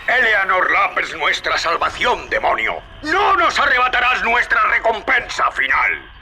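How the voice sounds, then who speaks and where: Zealot preacher villain, slow grandiose delivery, huge pitch swings, threatening, video game. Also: male voice, huge pitch swings, background noise, full character voice. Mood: wrathful. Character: fanatical, vengeful male preacher villain. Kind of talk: video game dub acting.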